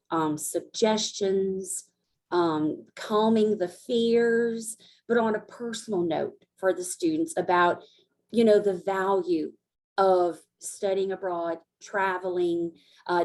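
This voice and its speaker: Casual halting woman host. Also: mid-range voice, a little mellow. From Acadiana, Louisiana. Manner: casual, halting, many fillers and restarts. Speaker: study abroad coordinator hosting. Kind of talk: hosting a recorded info session.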